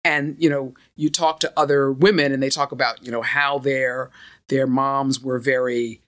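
Middle-aged New woman, deep soothing voice, unhurried and confident. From United States. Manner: calm, measured, self-assured. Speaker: podcast guest, law firm partner. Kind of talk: casual interview conversation.

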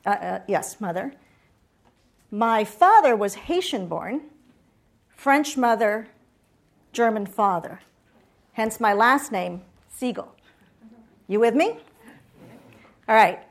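A middle-aged woman speaking as a guest lecturer, chatty and direct, who keeps asking her listeners if they follow. Her voice sits a touch high for a woman. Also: expressive, slow pace, long pauses. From Puerto Rico. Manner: chatty, asks audience questions. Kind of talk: lively campus talk.

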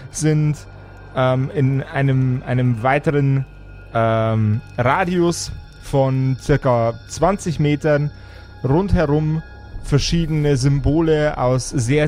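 A man narrating an improvised fantasy role-playing game as game master, with a deliberate, descriptive delivery. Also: fairly high pitch, darkish tone. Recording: music behind the voice. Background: music.

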